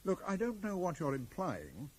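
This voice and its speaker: An actor playing a middle-aged, very correct British professor in a radio drama, guarded, brusque and easily affronted. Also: male voice, high voice, wildly swooping pitch, moderate pace, a little mellow, slightly rough, full character voice. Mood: irritated, indignant. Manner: stiff, formal, brusque. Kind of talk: 1980s radio drama acting. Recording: faintly noisy 1980s radio broadcast.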